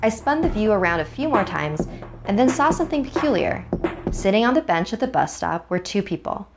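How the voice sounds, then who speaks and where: Female narrator for a creepy first-person tale: lowish voice, expressive and suspenseful, clear music bed underneath. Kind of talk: dramatic first-person horror story narration.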